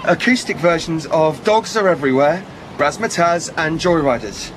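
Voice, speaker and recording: A man's voice: on the high side, lively intonation, moderate pace, noisy background, natural voice. An actor in a comic radio play, playing a stranded, persistent male fan with an earnest, eager manner. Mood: earnest, polite.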